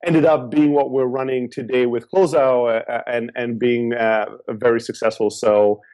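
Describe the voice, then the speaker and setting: Male guest entrepreneur, mid-pitched, lively and chatty. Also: moderate pace. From Greece, raised in Germany. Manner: energetic, talkative, with fillers and repeats. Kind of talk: interview answers.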